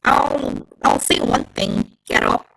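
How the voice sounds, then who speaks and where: A woman voicing a frank, matter-of-fact teenage frog-like girl hero, deliberate in her delivery, in a story reading. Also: fairly bright tone, low-quality recording.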